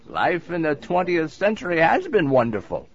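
A man's voice: on the high side, very animated, normal speed. An actor playing a very old man in a nursing home with a wry, dry humour, in a scripted language-course dialogue. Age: older. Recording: dull lo-fi recording.

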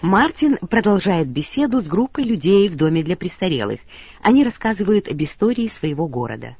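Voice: medium pitch, big pitch swings, brisk, a little mellow. A language course narrator reading scripted instructions. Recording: dull lo-fi recording with music.

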